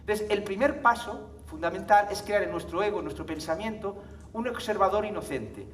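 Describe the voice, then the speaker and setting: A man's voice: high voice, expressive, normal speed, a bit bright, a little hiss or hum. A man from giving a live conference talk to an audience, chatty, often checking in with tag questions and laughing. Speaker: conference speaker. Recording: echoey hall, mic from far off.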